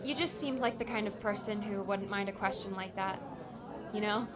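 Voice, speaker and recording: A woman's voice: medium pitch, moderate pace, crowd noise, lightly acted. A woman playing a friendly, slightly hesitant young woman in an audiobook drama, talking in a casual, tentative way.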